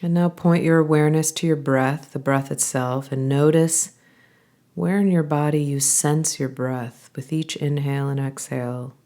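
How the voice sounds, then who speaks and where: A woman guiding a body scan meditation, giving calm, gentle instructions in an unhurried way with pauses. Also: low-pitched. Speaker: meditation guide.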